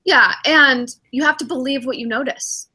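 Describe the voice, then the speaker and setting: A woman's voice: sits high, moderate pace, sharp tone, slightly rough. A middle-aged woman, a spiritual director hosting a casual podcast chat, reflective and acting out her own inner thoughts as she talks.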